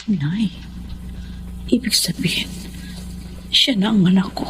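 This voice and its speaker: A woman acting a tender, remorseful mother in a radio drama, pleading and halting. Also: mid-range voice, a little hiss or hum, natural voice. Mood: moved. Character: remorseful, tender mother returning after years away.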